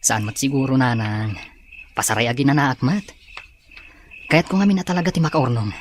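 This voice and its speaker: A man's voice: medium pitch, moderate pace, slight background noise, natural voice. A man playing a hardworking, respectful young man in an audio drama, speaking reassuringly with an Ilocano accent.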